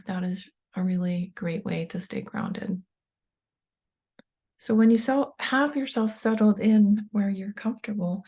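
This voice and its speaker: A woman leading a guided meditation in a soft, breathy voice, calm and soothing, with unhurried pauses. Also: medium pitch. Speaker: meditation guide, tapping coach.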